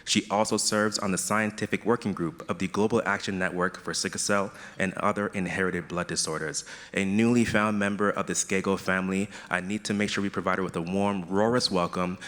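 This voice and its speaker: A man with a low voice formally introduces a speaker to the stage. His voice rings out with a long reverb, as in a large conference hall. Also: fairly even intonation, a little fast, hardly any pauses, a bit bright. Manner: formal, announcing.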